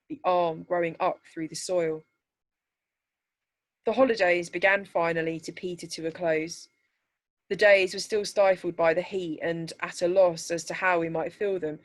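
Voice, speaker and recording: Lower-pitched woman reading a story aloud. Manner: measured, deliberate, descriptive reading. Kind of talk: scripted story narration.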